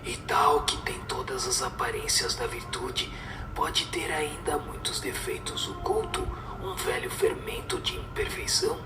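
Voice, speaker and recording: A man's voice: on the high side, wildly swooping pitch, unhurried, echoey room, music in the background, heavily acted. A man playing a grave whispering spirit. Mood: grave, admonishing. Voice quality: whispered, breathy. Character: grave whispering spirit giving solemn moral answers. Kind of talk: radio drama reading of a spiritist book.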